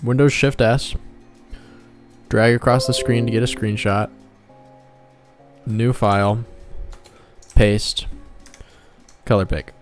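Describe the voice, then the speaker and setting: A man streaming as a game developer and artist, chatting casually and muttering in a low-key way as he works. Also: steady tone, slow, pauses often, soft background music. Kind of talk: casual livestream chat while drawing.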